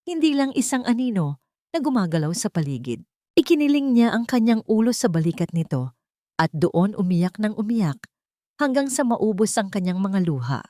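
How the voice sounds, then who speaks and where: A woman narrating a scripted dramatic love story as a storyteller, in measured, short dramatic phrases. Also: medium pitch, warm tone, dry recording, studio quality.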